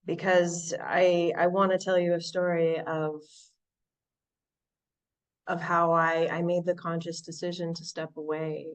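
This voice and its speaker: Low-voiced American woman, reflective and halting. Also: flat delivery, recorded from a distance. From Southern California. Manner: reflective, halting, many restarts and fillers. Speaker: speaker sharing at a recovery meeting. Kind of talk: personal recovery share. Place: online meeting from home.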